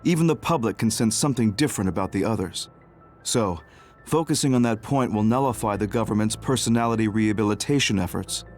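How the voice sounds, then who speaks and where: An actor playing a grave, composed double agent in a video game dub, speaking in a measured, serious, formal way. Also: male voice, fairly low pitch, darkish tone, faint music behind, natural voice. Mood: serious, explaining.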